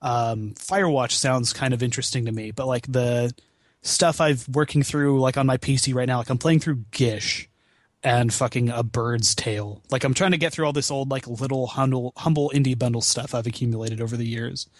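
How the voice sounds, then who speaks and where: A man's voice: mid-range voice, fairly even intonation, moderate pace, no room sound. Wry, sweary man chatting casually, dry sound. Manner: profane, self-deprecating, wry. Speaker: podcast guest, video game marathon founder. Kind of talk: casual podcast chat about video games.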